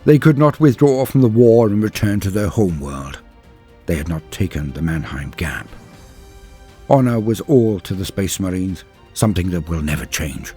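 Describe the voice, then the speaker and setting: A man delivering dramatic scripted lore narration in a solemn, grave and measured storytelling manner. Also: sits low, expressive, mellow, faint music behind. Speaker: narrator and host of a lore channel.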